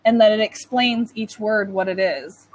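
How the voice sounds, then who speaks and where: A woman's voice: mid-range voice, measured pace, rough recording. A woman with a North American accent tutoring English in casual, informal conversation, often saying "like". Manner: chatty, informal, says "like" often. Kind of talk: casual one-to-one lesson chat. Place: online video call lesson.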